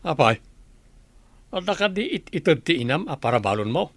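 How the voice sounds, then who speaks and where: A demanding, gruff uncle from a Filipino radio drama. His pitch rises and falls sharply as he gives orders. The sound is dry and close. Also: very animated, moderate pace, no room sound. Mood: bossy, irritated.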